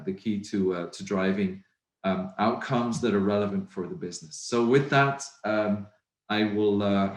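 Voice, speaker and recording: A middle-aged man from Belgium, a native Dutch speaker with a Flemish accent, presenting at a virtual conference in a measured, reflective way with many ums. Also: lowish voice. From Belgium (Flanders). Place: home office, indoors. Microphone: video call. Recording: roomy video-call audio.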